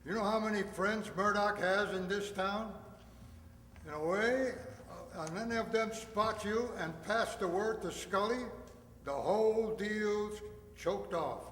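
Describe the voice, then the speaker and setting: An actor playing a cautious, authoritative police captain in a radio crime drama. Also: male voice, high-pitched, measured pace, fairly bright tone. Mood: brisk, cautious. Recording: echoey hall with faint noise.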